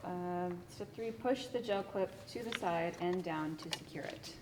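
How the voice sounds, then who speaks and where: A woman's voice: on the low side, unhurried. A woman student reading written step-by-step instructions aloud. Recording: echoey hall, clear background noise.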